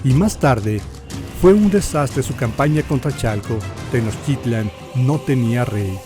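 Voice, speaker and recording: A man's voice: medium pitch, lively intonation, measured pace, music in the background. A man with a Mexican accent reading scripted historical narration in a solemn, theatrical, literary manner.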